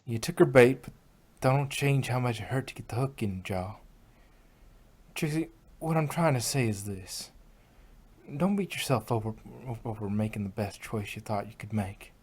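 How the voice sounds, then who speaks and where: A man's voice: mid-range voice, expressive, normal speed, a little mellow, husky. A man voicing an honest, plain-spoken female farm pony with folksy country phrasing in a fan fiction reading. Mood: earnest, comforting.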